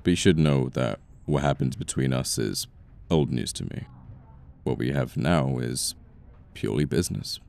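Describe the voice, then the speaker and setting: An extremely low, creaky British male voice acted by a man for a cold young boss in an ASMR roleplay. He speaks in formal, sardonic phrases with a haughty, bitter edge. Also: lively intonation, normal speed, darkish tone, full character voice. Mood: cold, dismissive. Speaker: voice actor in an audio roleplay. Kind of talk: intimate ASMR roleplay acting.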